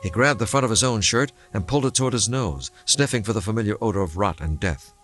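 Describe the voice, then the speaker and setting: Deep-voiced man narrating a thriller. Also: quick pace, a bit bright, soft background music. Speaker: audiobook narrator. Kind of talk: scripted dramatic narration of a thriller novel.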